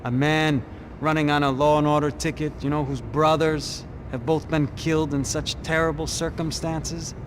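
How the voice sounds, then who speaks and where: An actor playing a cynical police detective in a game, speaking in a sardonic, knowing way. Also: male voice, a little high, level tone, moderate pace, lightly acted. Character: sly, cynical Brazilian police detective, man. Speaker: police officer. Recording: game scene with loud street traffic.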